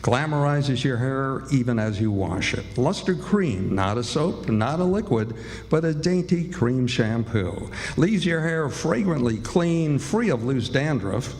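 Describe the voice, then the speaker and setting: A man's voice: mid-range voice, darkish tone. A man announcing a live old-time radio show, reading scripted introductions and commercials. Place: live stage in a hall. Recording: reverberant hall, music behind.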